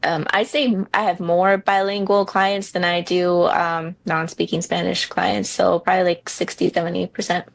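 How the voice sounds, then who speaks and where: A woman in her thirties from New Jersey, a real estate broker answering interview questions in a frank, relaxed way with many ums. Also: lowish voice, normal speed, creaky, few pauses.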